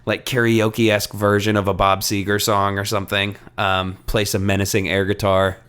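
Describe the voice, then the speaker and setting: A man's voice: fairly low pitch, monotone, normal speed, a bit bright, clean tone. A man co-hosting a podcast in casual, joking conversation, informal and free with swearing. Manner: jokey, informal, swears freely. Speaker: podcast co-host. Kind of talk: casual chat, comedic book discussion.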